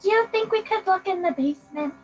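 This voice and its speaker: A woman's voice: very high voice, brisk, soft background music. A woman voicing a bratty little girl with a teddy bear, childish and mock-threatening, in a tabletop game. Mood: eager. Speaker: tabletop role-playing player.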